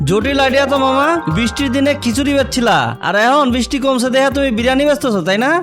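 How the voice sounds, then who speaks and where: A man's voice: very high-pitched, fast, over music. A man voicing a boastful, freeloading uncle in a comedy cartoon, with wheedling colloquial banter and a Bangladeshi accent.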